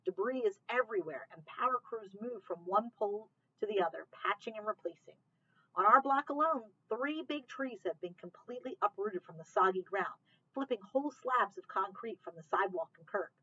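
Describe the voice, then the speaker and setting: Bright-voiced woman reading a storybook aloud. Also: mid-range voice, normal speed, husky, lo-fi sound. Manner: expressive storybook narration. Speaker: library read-aloud reader. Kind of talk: reading a children's novel aloud.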